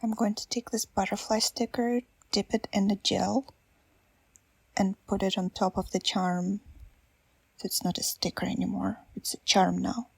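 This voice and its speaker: Woman, Russian accent, gentle ASMR voiceover, a touch of vocal fry, dry close mic, self-deprecating. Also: mid-range voice, flat delivery, moderate pace, dry recording. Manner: soft, self-deprecating, gently chatty with little laughs. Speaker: nail art creator. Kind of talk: soft-spoken ASMR voiceover.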